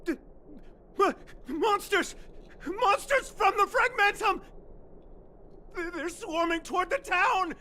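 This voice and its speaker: A terrified villager in a game, super high sharp stammering shouts, faint noise. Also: female voice, slow pace, long pauses, exaggerated voice. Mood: panicked. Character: panicked townsperson raising the alarm. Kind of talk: video game character voice acting.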